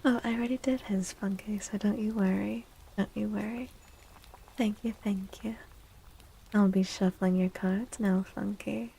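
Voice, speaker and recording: A woman speaks in a soft, breathy voice with a crackle of fry, often dropping to a whisper. She takes her time, gently reassuring listeners in the manner of an ASMR tarot livestream. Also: medium pitch, fairly even intonation, unhurried. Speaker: livestream host and tarot reader.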